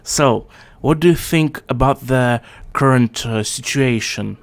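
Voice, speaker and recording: A man hosting his video channel, arguing his points calmly in a casual video chat. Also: medium pitch, measured pace. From Russia. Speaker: channel host.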